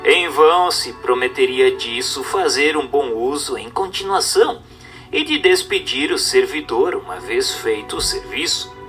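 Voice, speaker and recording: A man with a Brazilian accent reads a religious text aloud as a narrator, in a formal, measured, didactic manner over music. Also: mid-range voice, sing-song, sharp tone. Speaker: narrator reading a book aloud. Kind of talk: scripted audiobook-style narration of a religious text.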